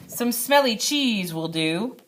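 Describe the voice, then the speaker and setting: A woman's voice: a little high, expressive, slow pace, fairly bright tone, pitched above the performer's own voice. A woman voicing a playful, teasing, adventurous dad with an eager, theatrical manner in a children's storybook. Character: playful, teasing adventurous dad spinning spooky monster tales. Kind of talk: children's storybook read-aloud.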